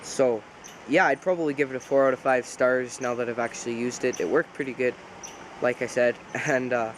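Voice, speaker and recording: A man's voice: mid-range voice, brisk, rough recording. Man, nasal from a cold, easygoing outdoors vlogger talking through a demo, faint outdoor hiss behind. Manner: relaxed, rambling, self-correcting. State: congested with a cold. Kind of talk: casual outdoor demonstration. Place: outdoors by a pond.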